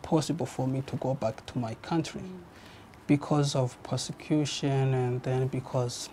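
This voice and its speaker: A man's voice: mid-range voice, little pitch movement, unhurried, mellow, a little hiss or hum. A man recounting his personal story in an interview, hesitant, with an uneven pace.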